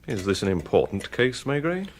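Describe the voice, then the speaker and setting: An actor playing a police pathologist in a radio drama, giving findings in a precise, measured way. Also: male voice, mid-range voice, sing-song. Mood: clinical. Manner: measured, clinical.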